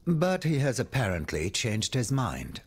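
Game role: worldly French nobleman. Husky, highly expressive, theatrical and courtly, with worried, confiding asides. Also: a little slow. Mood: grave, helpful. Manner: theatrical, courtly, swinging between exclamation and confiding murmur. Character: urbane male French aristocrat, worried, wry, world-weary. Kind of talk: dramatic video game dialogue.

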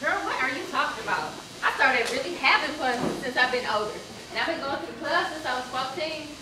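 A woman's voice: high voice, animated, fast talker. An actress playing a brash, boastful young party girl in a stage play, talking in a slangy, dismissive, sassy way. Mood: bragging, teasing. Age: young adult. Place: theater stage, filmed from far camera. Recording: echoey, noisy stage recording from far off.

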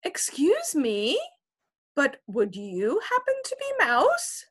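A woman's voice: sits high, wildly swooping pitch, slow pace, voice pushed up, exaggerated voice. A woman voicing a brave little male kitten who speaks politely and boasts eagerly.

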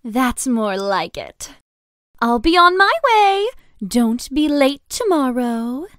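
A woman's voice: high-pitched, big pitch swings, takes it slowly, fairly bright tone, dry recording, studio quality, full character voice. A woman playing a spoiled, demanding young heiress in a visual novel, imperious and condescending. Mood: smug.

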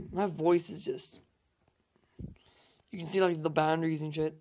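A young man hosting a gaming channel, hoarse from losing his voice, rambling through casual gameplay commentary with fillers and swearing. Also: a little high, steady tone, unhurried, a little mellow.

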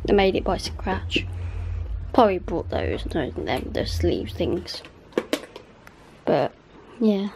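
Woman, twenties, chatty and giggly, camcorder audio in a bedroom, low hiss. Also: sing-song, slow, creaky, pauses often, darkish tone. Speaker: vlogger. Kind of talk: casual chat. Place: bedroom at night. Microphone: handheld camera.

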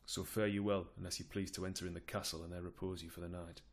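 A man's voice: deep voice, little pitch movement, fast talker, pitched below the performer's own voice. Man acting a stern, weary old duke. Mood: weary resignation. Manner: declamatory verse, stern then resigned. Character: weary, principled old duke, rebuking yet powerless uncle.

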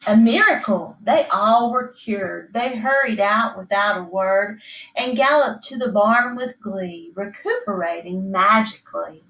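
Middle aged lady reading a rhyming kids book, takes it slow, echoey and a bit far from the mic. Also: medium pitch, a bit bright. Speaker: storybook reader. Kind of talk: expressive read-aloud of a rhyming children's picture book. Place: small indoor room. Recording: echoey room, distant microphone.

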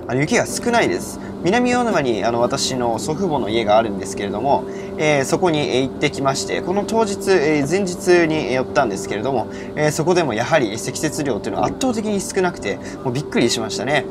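A man in his early twenties narrating a railway vlog with detailed, informative explanations. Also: fairly high pitch. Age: early 20s. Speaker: railway vlogger. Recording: loud train and station noise.

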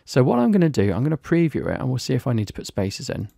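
British man, gravelly, dry close mic, walk-through tutorial read. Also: medium pitch, big pitch swings, fast talker, warm tone, dry recording. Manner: plain, explanatory, lightly chatty. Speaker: software reviewer. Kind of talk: screen-recorded software tutorial.

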